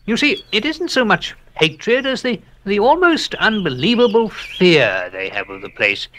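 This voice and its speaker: An erudite captain in an audio horror drama, with a fairly high voice that swings widely in pitch. He is excitable and theatrical, pausing and savoring each grisly detail of old legends. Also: a little hiss or hum, heavily acted. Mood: ominous, earnest. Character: excitable, erudite English captain relating dark local legends. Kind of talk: audio drama dialogue, storytelling.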